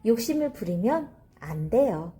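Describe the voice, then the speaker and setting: A woman storyteller reads for children with a high, lively voice that swings widely in pitch. Her tone is soft-edged and mellow, and she explains things like a teacher speaking straight to her young listeners. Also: measured pace, slightly rough. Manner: didactic, addressing children directly. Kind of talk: children's story reading with commentary.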